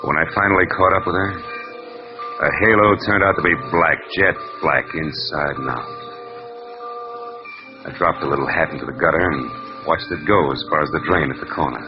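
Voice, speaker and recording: A man's voice: a bass voice, moderate pace, pauses often. An American actor playing a world-weary, sardonic male private detective in a 1940s radio noir drama. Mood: somber, brooding. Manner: clipped, sardonic, tough. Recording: dull vintage radio recording with music.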